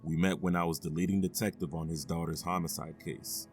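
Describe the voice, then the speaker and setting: A police chief testifies in a deep, low voice, as a man plays him, speaking with measured formality. Soft music runs faintly beneath this audio drama. He stays earnest and steady throughout. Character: steady male police chief on the witness stand.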